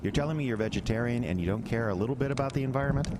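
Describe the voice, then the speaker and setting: A man's voice: mid-range voice, racing, lightly acted. An actor playing a wry, stubborn male bureaucrat with a folksy, coaxing manner in an audio drama. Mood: persuasive. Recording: noisy storm ambience behind.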